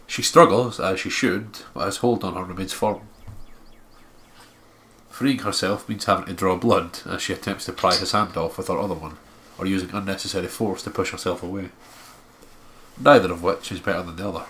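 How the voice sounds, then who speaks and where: A man's voice: low-pitched, fairly bright tone, a little hiss or hum. A man reading a visual novel aloud as narration, expressively, with casual asides.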